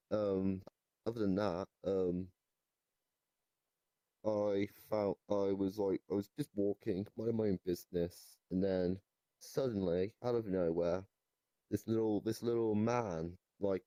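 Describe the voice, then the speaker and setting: A man playing a deranged goblin storyteller in an improvised comic interview, rambling haltingly and nonchalant about absurd claims. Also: sits low, mellow, no room sound. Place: virtual reality voice chat.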